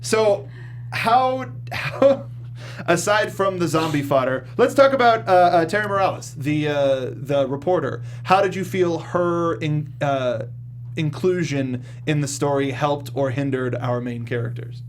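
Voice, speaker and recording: A man's voice: on the high side, unhurried, a little hiss or hum. A man hosting a casual film review discussion, with wry, jokey asides. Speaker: host of a film review show.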